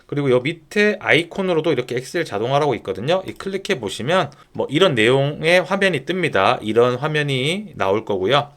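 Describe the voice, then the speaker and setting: A man giving an informal screen-share software tutorial, going step by step and often posing questions that he then answers himself. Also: medium pitch, animated, fairly quick, hardly any pauses.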